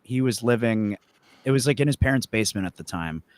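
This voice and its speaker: A man's voice: mid-range voice, animated, brisk, a little mellow, dry recording. A young man from the United States, a musician chatting casually as an interview guest and reminiscing in a laid-back, rambling way. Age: young adult. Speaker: musician, band frontman being interviewed.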